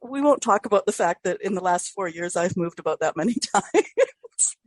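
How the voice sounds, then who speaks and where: Husky lively woman host. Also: lowish voice, normal speed, no room sound. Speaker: podcast host. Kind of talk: conversational interview.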